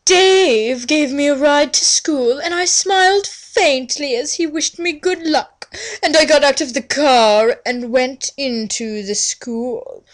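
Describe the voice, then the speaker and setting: Woman putting on a melodramatic, vain teenage girl, high-voiced. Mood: wounded. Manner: affected, overdramatic, drawn-out delivery. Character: vain, whiny, melodramatic sixteen-year-old girl narrating her life. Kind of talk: mocking dramatic reading of fan fiction.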